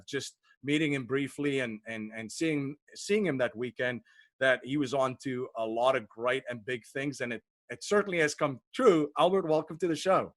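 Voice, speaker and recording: A man hosting a podcast interview, enthusiastic and a little halting, repeating words as he goes. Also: medium pitch, fairly bright tone, dry recording. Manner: enthusiastic, repeats words while finding phrasing. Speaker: podcast host. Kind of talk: casual interview chat.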